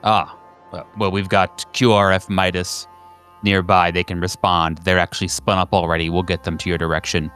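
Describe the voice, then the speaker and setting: A man playing a calm, businesslike agency dispatcher on a phone call in a horror roleplaying game, speaking in a measured, slightly hesitant official way. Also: low voice, soft background music, voice pushed down.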